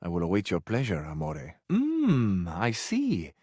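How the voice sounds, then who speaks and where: An actor playing a flowery, sensual, effusive Italian suitor who flirts overzealously in a video game, with an Italian accent. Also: male voice, deep voice, wildly swooping pitch, a little slow, a little mellow, no room sound, studio quality, exaggerated voice. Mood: amorous, flirtatious.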